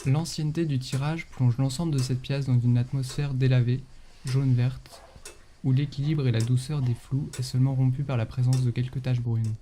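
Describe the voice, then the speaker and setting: Male narrator for literary description, extremely mellow, faint noise behind the voice. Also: medium pitch, fairly even intonation, moderate pace. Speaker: photo describer.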